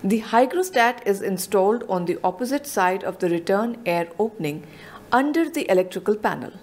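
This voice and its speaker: A woman narrating a scripted technical explanation in a measured, explanatory voice-over. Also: mid-range voice, lively intonation.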